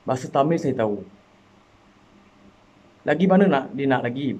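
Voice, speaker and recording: A man's voice: medium pitch, lively intonation, normal speed, a little mellow, slightly rough. A man from Malaysia making an earnest, impassioned personal appeal, repeating himself and rising into emotional pleas. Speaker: citizen speaking out.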